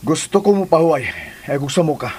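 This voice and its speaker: Actor as a high-voiced, defiant Cebuano man. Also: fast, heavy background noise, natural voice. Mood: exasperated. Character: defiant, protective young expectant father, fed up. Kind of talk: Philippine radio drama acting.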